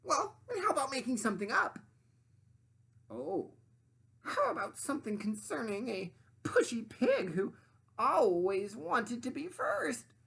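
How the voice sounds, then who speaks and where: Gloating storybook witch, high-pitched, cackling and bossy, wildly swooping, husky edge. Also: wildly swooping pitch, fairly bright tone, heavily acted. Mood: demanding. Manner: crowing, cackling, drawn-out commanding delivery. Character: bossy, gloating little female sand witch.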